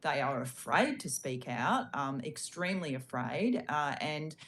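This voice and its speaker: An Australian woman on a committee, questioning a minister in a halting, pressing way full of fillers. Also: sits low, expressive, fairly bright tone, a little hiss or hum. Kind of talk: parliamentary questioning. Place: parliamentary committee hearing room.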